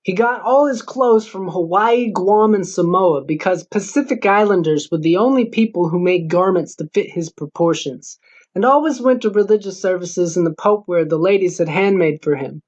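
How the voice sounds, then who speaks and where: A man's voice: sits high, moderate pace. Male audiobook narrator for scripted fiction, with a muffled, lo-fi recording. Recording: dull lo-fi recording.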